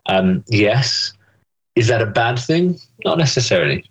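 A man's voice: mid-range voice, animated, unhurried, slightly rough, rough recording. A young man answering interview questions thoughtfully, with many fillers and restarts. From England. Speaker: interview guest.

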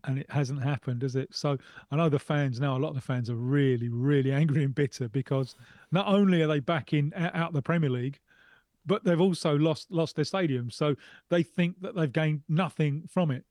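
A man's voice: a little high, normal speed, a little mellow, no room sound, clean studio sound. A man giving his opinions in a casual radio discussion, speaking reflectively and repeating phrases for emphasis. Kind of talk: casual chat.

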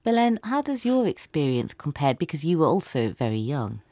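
A woman's voice: low voice, big pitch swings, moderate pace, dark tone, no room sound. A woman hosting an interview, asking questions and adding prepared commentary.

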